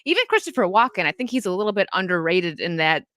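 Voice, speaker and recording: Upstate New York woman, stream host, bright and crisp, giggly, dry close mic. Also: lowish voice, lively intonation, quick pace, no echo. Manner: giggly, chatty, laughs often. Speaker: livestream host. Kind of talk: casual livestream chat.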